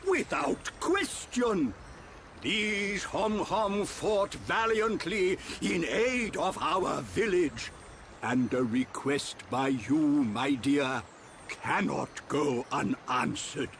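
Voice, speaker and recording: A man's voice: on the high side, animated, drawn-out words, a bit bright, slight background noise, full character voice. A man playing a pompous, wily, kindly old furry village chief in a video game, speaking in grand formal proclamations. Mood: gracious. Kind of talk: theatrical video game acting.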